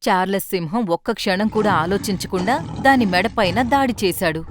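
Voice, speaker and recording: A woman's voice: medium pitch, moderate pace, noisy background. A woman reading scripted narration for a children's cartoon story. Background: light background music.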